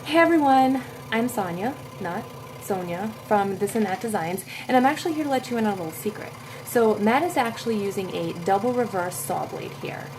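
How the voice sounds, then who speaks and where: A woman's voice: mid-range voice, expressive, brisk, a little mellow, a little hiss or hum, poor audio. A woman giving a chatty, emphatic tool tip. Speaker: guest maker. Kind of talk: casual product tip.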